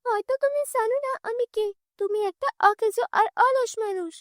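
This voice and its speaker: A woman's voice: very high-pitched, moderate pace, no room sound, studio quality, pitched above the performer's own voice. A woman voicing a poor, brave young village girl in a cartoon fairy tale, earnest and emotional. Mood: defiant.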